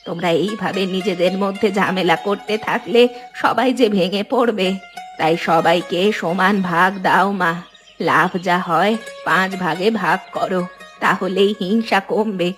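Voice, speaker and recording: A woman voicing a wise, grateful old village grandmother in a cartoon, motherly and measured as she gives advice. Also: fairly low pitch, a bit bright, faint music behind. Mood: gently advising. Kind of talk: cartoon dialogue.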